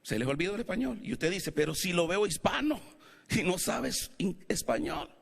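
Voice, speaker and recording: A male pastor with a Latin American Spanish accent preaches in a church, his voice bright and edged as he swings from steady teaching to shouted calls to the congregation. His pitch sits high for a man, and long hall reverb trails each phrase. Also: moderate pace, creaky. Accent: Latin American Spanish, not Mexican. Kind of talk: sermon. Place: church sanctuary.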